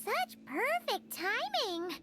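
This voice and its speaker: A woman voicing a tiny, childlike, curious and excitable fairy companion in a video game, with a squeaky voice. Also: slow, background noise, heavily acted. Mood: surprised. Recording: background music under the voice.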